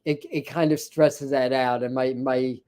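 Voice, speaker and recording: A man with a scratchy, hoarse voice hosting a spiritual livestream, chatting casually with many fillers and restarts. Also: medium pitch, fairly even intonation, measured pace, a little mellow. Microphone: ring light setup, speaking to camera.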